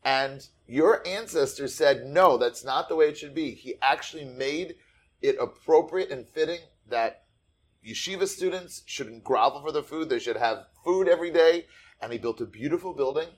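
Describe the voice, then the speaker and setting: A man interviewing a guest, enthusiastic and animated as he tells a story. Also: a little high, normal speed. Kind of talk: conversational interview.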